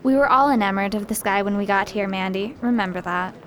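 A woman's voice: mid-range voice, fairly quick, close to an everyday voice. A woman playing a confident, scheming college student ringleader in an audio drama, talking in a breezy, bossy, sly way.

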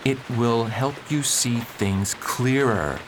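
An actor playing an earnest, troubled married preacher in a radio drama, formal and restrained in manner. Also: male voice, mid-range voice, slow pace, natural voice. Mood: solemn, persuasive. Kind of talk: period stage-style radio drama acting. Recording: noisy, rain-like background hiss.

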